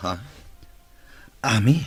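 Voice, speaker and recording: A man's voice: very slow, slight background noise. An actor playing a subdued male boss recovering from illness in a radio drama.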